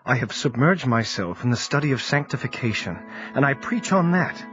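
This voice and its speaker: An actor playing an earnest, devout 1840s preacher in a radio drama. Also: male voice, medium pitch, lively intonation, moderate pace, a bit bright, natural voice. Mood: troubled. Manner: earnest, heartfelt delivery. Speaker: Charles Fitch. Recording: dull lo-fi recording with music.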